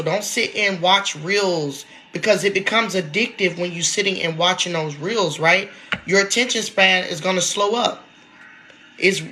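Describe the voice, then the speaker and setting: A woman's voice: fairly low pitch, sharp tone. A woman in her late thirties, congested from allergies, chatting casually to her viewers in a vlog and often calling out to them directly. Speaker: vlogger. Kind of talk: casual talk to camera.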